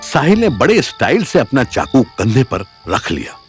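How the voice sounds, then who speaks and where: Animated male story narrator over music. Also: medium pitch, normal speed. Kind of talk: dramatic scripted story narration.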